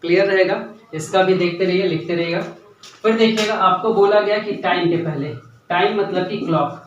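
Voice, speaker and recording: A man's voice: high-pitched, fairly even intonation, normal speed, highs cut off, a little hiss or hum. A young man teaching a grammar lesson, repeating key points and often checking whether students follow. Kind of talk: classroom-style grammar lesson. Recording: echoey room, mic at a distance.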